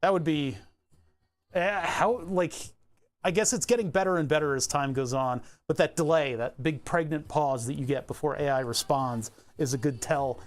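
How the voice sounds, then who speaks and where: A fairly high-voiced man rambles through a relaxed live stream, chuckling now and then as he walks through his setup. He speaks into a lapel mic clipped on in his home workshop. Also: normal speed. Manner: easygoing, chuckles often, talks through his screen setup. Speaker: livestreamer.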